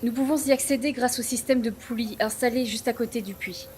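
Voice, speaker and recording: An actress playing a stern, commanding village clan leader in an audio drama, formal and authoritative. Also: female voice, a little high, steady tone, a little fast, background noise, lightly acted. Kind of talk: French audio drama acting.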